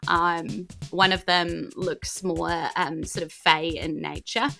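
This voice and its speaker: A woman's voice: lowish voice, fairly even intonation, slow pace, creaky, sharp tone, faint music behind. A woman with a New Zealand accent improvising narration as a tabletop game master, informal and often groping for words.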